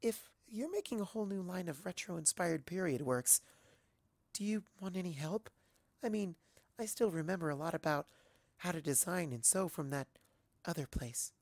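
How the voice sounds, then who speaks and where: A man voicing a timid, gentle young female cartoon pony, speaking quietly and hesitantly. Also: high-pitched, lively intonation, normal speed, no room sound. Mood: shy, hopeful. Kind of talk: cartoon fan fiction character reading.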